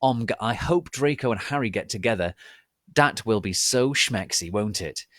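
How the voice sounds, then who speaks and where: A man voicing a gushing, excitable teenage girl who writes fan fiction, in a dramatic reading. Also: on the low side, moderate pace, no echo, studio quality. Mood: gushing excitement. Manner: breathless, over-excited gushing.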